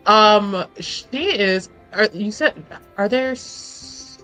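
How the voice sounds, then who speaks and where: A woman's voice: medium pitch, lively intonation, extremely slow, sharp tone, soft background music, poor audio. A woman playing a tabletop roleplaying game, chatting informally and thinking aloud in broken phrases. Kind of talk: casual chat during actual play.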